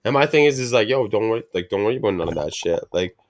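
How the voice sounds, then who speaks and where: Slangy, low-voiced man telling stories. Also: racing, no echo. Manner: slangy, animated, acts out quotes of family. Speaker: podcast co-host. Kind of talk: casual chat with cursing and storytelling.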